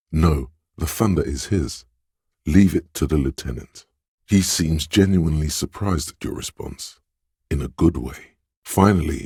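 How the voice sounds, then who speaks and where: A man voicing a suave, insinuating inner voice of persuasion in a video game, narrating in a measured, knowing, gently coaxing way. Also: dry recording, studio quality, full character voice. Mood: knowing, confiding. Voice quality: very deep, husky. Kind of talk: scripted video game narration in second person.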